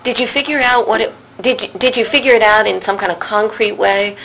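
Creaky-voiced woman interviewer, engaged. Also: medium pitch, brisk, crowd noise, low-quality recording. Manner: engaged and admiring, probing follow-up questions. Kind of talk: conversational interview.

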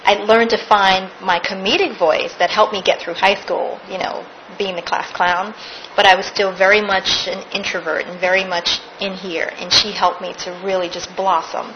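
A woman's voice: mid-range voice, fairly even intonation, moderate pace, few pauses, highs cut off, a little hiss or hum. A woman, a stage actress, recounting her theater career in a casual interview, laughing now and then.

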